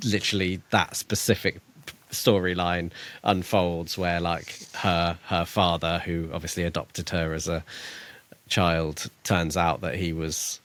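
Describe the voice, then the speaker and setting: A man's voice: deep voice, flat delivery, measured pace, a bit bright. A man co-hosting a podcast, musing about a book in a hesitant, thoughtful way. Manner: thoughtful, hesitant, trails off and restarts. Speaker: podcast co-host. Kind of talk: casual book discussion.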